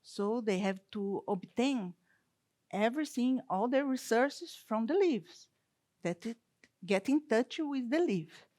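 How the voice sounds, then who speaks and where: Woman, Brazilian Portuguese accent, unhurried delivery, dry close sound, conference lecture. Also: on the high side, expressive, a little mellow, no echo. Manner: halting, careful non-native English with restarts. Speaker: university plant scientist. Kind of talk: conference talk with slides.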